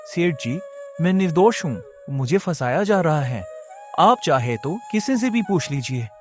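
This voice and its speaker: Young villager hero male actor: honest, meek, sincere, slightly high male voice, cartoon story delivery. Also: sing-song, measured pace, soft background music. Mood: pleading. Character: honest humble earnest young village man. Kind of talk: Hindi cartoon story voice acting.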